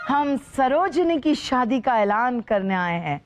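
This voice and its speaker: A woman's voice: high voice, big pitch swings, a little slow. An actress playing a stately, impatient queen in a TV sitcom, formal and commanding. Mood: brisk, announcing. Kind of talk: TV sitcom acting. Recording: loud music over the dialogue.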